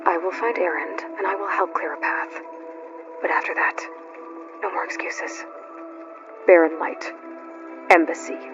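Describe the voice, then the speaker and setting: An actress playing a determined young huntress in a video game, talking in a direct, dry, impatient way. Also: female voice, on the low side, fairly even intonation, measured pace, long pauses, close to an everyday voice. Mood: resolute. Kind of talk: video game cutscene acting. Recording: muffled game capture with music.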